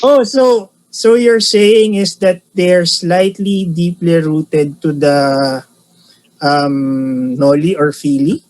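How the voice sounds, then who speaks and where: A man chatting in a relaxed, joking way, his voice sitting a bit high. He laughs easily between his points. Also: slow, low-quality recording. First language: Filipino. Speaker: co-host reacting to a show. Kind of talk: casual chat.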